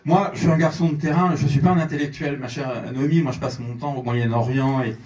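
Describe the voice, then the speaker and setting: A man's voice: mid-range voice, fairly even intonation, a little fast, a little mellow. A French-Iranian man, a journalist, giving a fervent speech at a conference in a large theatre, repeating words when he pushes a point. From France and Iran, French-Iranian. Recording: echoey hall, voice from a distance.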